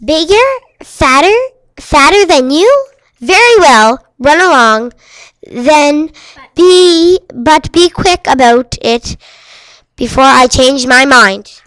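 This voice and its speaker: A woman's voice: high voice, animated, slow, a bit bright, dry recording, exaggerated voice, poor audio. A woman playing a mean old male troll from a storybook, bossy and threatening as the bridge's guard. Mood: grudging.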